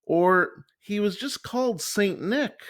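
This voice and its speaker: A man's voice: high-pitched, lively intonation, takes it slowly, a bit bright, pitched above the performer's own voice, full character voice. A man voicing a kindly but firm mother bear who explains things in a storybook. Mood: explaining. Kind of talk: storybook character voice.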